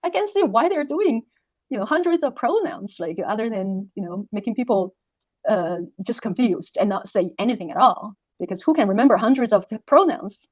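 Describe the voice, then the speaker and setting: A woman whose first language is not English, explaining things casually as an interview guest, halting and repeating words. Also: fairly high pitch, lively intonation, a little mellow, dry recording. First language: not English, which is a third language. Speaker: interview guest, reading tutor.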